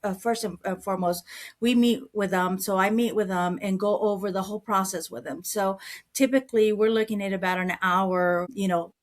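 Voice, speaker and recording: Woman, middle-aged, from Mexico, earnest, conversational, restarts words, medium pitch. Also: fairly even intonation, moderate pace. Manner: earnest, repeats words while thinking. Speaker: real estate broker, guest. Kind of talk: conversational interview answers.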